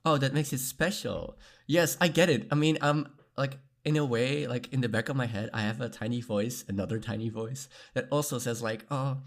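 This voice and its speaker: A man's voice: medium pitch, normal speed. A man talking candidly as a podcast guest, earnest and reflective, with many fillers and frequent laughs. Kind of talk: candid conversational interview.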